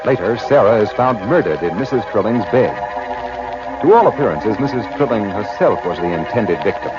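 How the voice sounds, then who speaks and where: A man's voice: on the low side, lively intonation, normal speed. A man announcing in 1940s radio style, reading sponsor copy and story recaps as scripted narration. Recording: dull 1940s radio recording with music.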